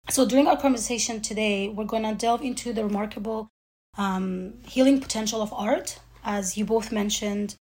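A woman's voice: medium pitch, darkish tone. A woman hosting an interview, introducing guests and asking questions in polished, formal phrasing. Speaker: interview host. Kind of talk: semi-scripted interview hosting.

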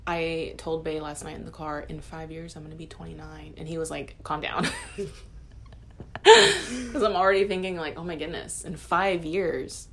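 A woman's voice: sits low, unhurried. A woman in her twenties co-hosting a casual podcast chat, rambling, self-deprecating and laughing a lot. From United States. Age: 20s. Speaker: podcast co-host.

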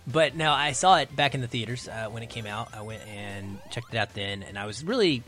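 A man's voice: medium pitch, fairly quick, fairly bright tone. A man chatting casually and enthusiastically as a guest on a podcast. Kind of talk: casual chat.

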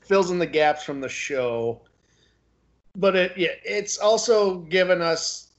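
A man co-hosting a podcast in casual discussion, speaking haltingly as he searches for his words. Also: high voice, highs cut off. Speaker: podcast co-host.

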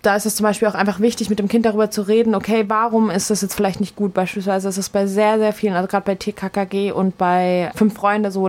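A woman's voice: medium pitch, a little mellow. A young woman presenting explanatory commentary in an earnest, colloquial way.

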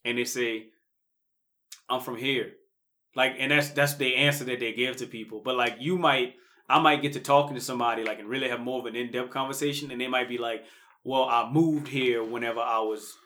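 Bright-voiced man from Louisiana, musing. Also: mid-range voice, fairly even intonation, a little fast. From Louisiana, United States. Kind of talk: casual conversational podcast discussion.

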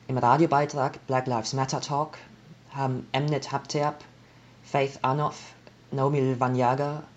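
Mid-pitched man reading a prepared speech. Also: darkish tone. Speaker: jury member giving a laudation. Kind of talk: scripted speech, measured reading.

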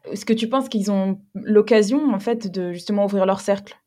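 A woman's voice: medium pitch, moderate pace, mellow. A woman interviewing a guest in a casual talk, asking questions and giving frequent short backchannel replies.

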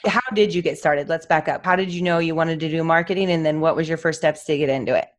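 Deep-voiced woman hosting an interview. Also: steady tone, quick pace. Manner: warm, friendly questions, laughs along. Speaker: podcast host. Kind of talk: interview host.

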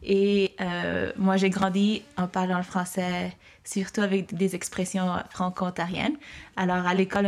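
A young woman from Mexico, chatting easily and laughing as she talks about growing up. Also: medium pitch. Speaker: interviewee.

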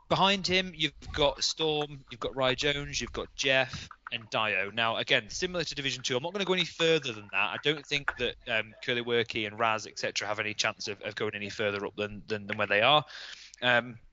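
A man's voice: mid-range voice, fairly quick, pushed and bright, lo-fi sound, slight background noise. A man chatting casually and in a blokey way as he hosts a podcast among friends. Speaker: host of a gaming league podcast.

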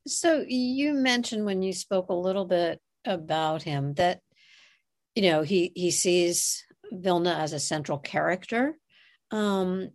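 A woman hosting a conversational interview, asking long, reflective questions in a halting way. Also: fairly low pitch, darkish tone. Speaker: podcast interviewer.

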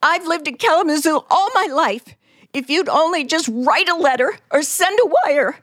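An actress playing a frantic, terrified middle-aged woman held captive, halting and stammering in the manner of an old radio suspense play. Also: high-pitched, a bit bright, no echo, clean studio sound. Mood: frantic pleading. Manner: breathless, stammering, pleading, halting. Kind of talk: old-time radio suspense drama acting.

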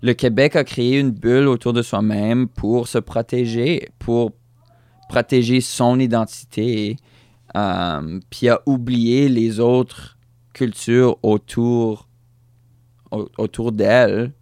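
A man's voice: mid-range voice, fairly even intonation, takes it slowly, darkish tone, dry recording, clean studio sound. A young man with a Fransaskois French accent shaped by English, talking through an interview in a hesitant way, with many restarts and stray English words. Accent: Fransaskois French, influenced by English. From Saskatchewan, Canada. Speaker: guest musician. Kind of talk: casual interview chat.

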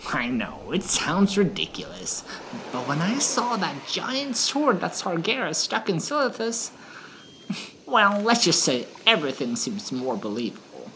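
A seasoned gnome adventurer, acted by a woman, speaks in a low, very animated voice. The delivery is wry and knowing, like a mentor in a fantasy audio drama, over noticeable ambient noise. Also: a little slow, exaggerated voice. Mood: matter-of-fact.

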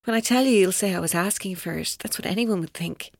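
Tormented young Irish woman, hesitant and husky. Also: mid-range voice, no echo, studio quality. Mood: guarded, bitter. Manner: hesitant, halting, guarded, breaking off mid-sentence. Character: tormented, evasive young woman giving police her story. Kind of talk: naturalistic audio drama acting.

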